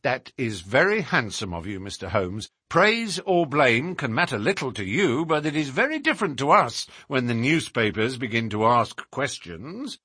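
A man's voice: mid-range voice, sing-song, normal speed, hardly any pauses, sharp tone, breathy edge, no room sound, studio quality, voice pushed up, heavily acted. A man voicing a respectful, plain-spoken and often puzzled police inspector in an audiobook. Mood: relieved.

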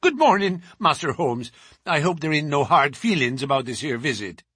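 A man voicing a menacing male prizefighter thug who talks in rough, ungrammatical threats. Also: on the high side, sing-song, moderate pace, fairly bright tone, dry recording, studio quality, pitched above the performer's own voice, heavily acted. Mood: sullen.

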